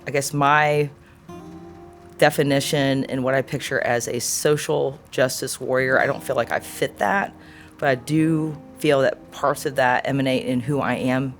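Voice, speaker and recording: Earnest, reflective woman in her forties. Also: very deep voice. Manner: earnest, thoughtful, searching for words. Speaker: interviewee. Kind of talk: reflective personal interview answer.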